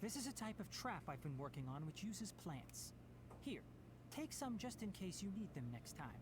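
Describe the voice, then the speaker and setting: An actor voicing a young male forest scholar in a video game, a brisk, dry and caring mentor. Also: high-pitched, very animated. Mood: matter-of-fact, helpful.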